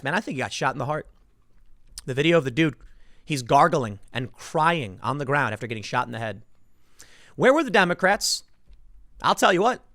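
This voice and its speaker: A man's voice: on the high side, fairly bright tone, dry recording, clean studio sound. A man giving impassioned solo political commentary as a host, emphatic and indignant, repeating phrases for stress.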